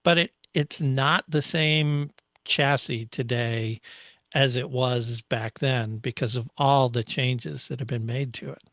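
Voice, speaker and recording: A man co-hosting a casual technical discussion, explaining things in a halting way with frequent pauses. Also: medium pitch, steady tone, fairly bright tone, no room sound, studio quality. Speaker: co-host explaining motorsport technology.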